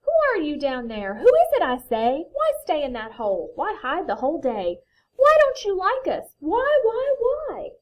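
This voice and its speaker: A woman voicing a big friendly bear in a children's picture book, calling out curious questions with loud emphasis. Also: on the high side, wildly swooping pitch, measured pace, lo-fi sound, heavily acted. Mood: pleading.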